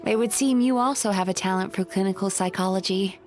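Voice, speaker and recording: A woman playing a tired, gentle and hardworking yokai therapist in a video game, polite and earnest. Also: medium pitch, a little fast, soft background music, close to an everyday voice.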